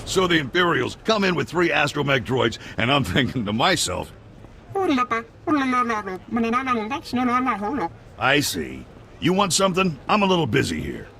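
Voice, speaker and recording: Wildly animated, gravelly cantina local. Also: male voice, medium pitch, fairly quick, slight background noise, exaggerated voice. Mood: amused storytelling. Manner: animated, colloquial. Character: flustered, evasive male cantina local telling tales. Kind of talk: video game voice acting.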